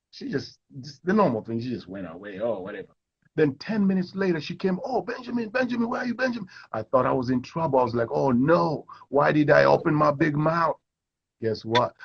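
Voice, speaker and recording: Middle-aged man from Kenya, actor guest, husky, mid-pitch, plays out quotes, muffled audio. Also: fairly quick. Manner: animated storyteller who acts out quoted voices. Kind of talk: storytelling in a live interview.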